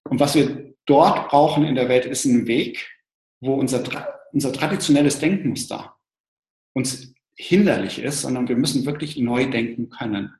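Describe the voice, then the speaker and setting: A man's voice: mid-range voice, normal speed, a little mellow. A man explaining ideas thoughtfully, with some restarts. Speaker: co-presenter. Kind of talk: explanatory talk to an online audience. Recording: roomy echo.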